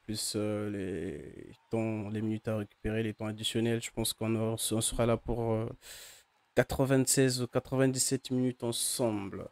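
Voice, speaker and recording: A man's voice: lowish voice, fairly even intonation, normal speed, gravelly, a little mellow, dry recording. A man with the flu, his voice not at its best, giving casual live commentary on a football match for his chat, hesitant and full of fillers. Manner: hesitant, many "euh" fillers and restarts.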